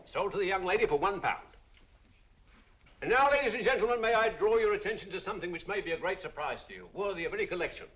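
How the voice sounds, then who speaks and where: A brisk British auctioneer works through showy, persuasive patter in a fairly high voice that swoops up and down. He repeats himself theatrically, on the dull soundtrack of an old film. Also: expressive, fairly bright tone, slight background noise. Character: brisk, showy London auctioneer, smooth salesman.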